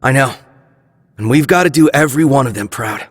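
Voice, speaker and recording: An actor playing an earnest, resolute young male squad leader in a video game cutscene, with a husky voice. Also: medium pitch, brisk, in a large hall, close to an everyday voice.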